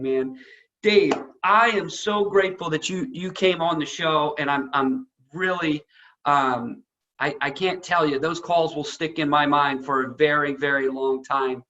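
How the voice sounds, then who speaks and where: A man hosting a casual interview, speaking effusively with halting repetitions, on slightly dull lo-fi audio. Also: on the high side, bright, forceful tone. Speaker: show host, interviewer.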